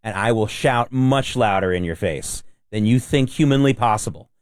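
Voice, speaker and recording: A man's voice: on the low side, normal speed, no echo, clean studio sound. A man co-hosting a talk radio show, blunt and emphatic. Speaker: radio co-host. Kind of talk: casual talk radio chat.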